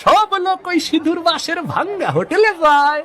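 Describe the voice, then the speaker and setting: Spiteful cartoon hotel owner, male actor: high, very animated, blustering, jealous, music behind. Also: moderate pace, some chatter behind, full character voice. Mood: bitter, angry. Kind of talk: exaggerated cartoon voice acting.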